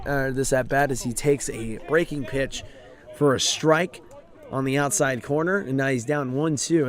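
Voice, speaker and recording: A man calling a college baseball game play by play, his voice raspy and hoarse from overuse, steady and informative, and lifting with the action on the field. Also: medium pitch. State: hoarse, voice drained. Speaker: play-by-play announcer. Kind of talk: live baseball play-by-play commentary.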